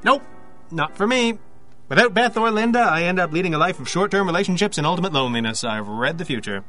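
A man acts out a lonely, self-doubting perfectionist in an audio play. His voice sits high and has a sharp, bright edge. He speaks hesitantly and earnestly over faint music. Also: expressive, quick pace. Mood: resigned, earnest. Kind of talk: audio drama dialogue.

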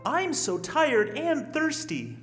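A woman's voice: high voice, sing-song, takes it slowly, faint music behind, exaggerated voice. A woman playing an earnest know-it-all child scout giving a stilted recital in an audio drama pageant. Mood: stiff recital. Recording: echoey hall, long reverb.

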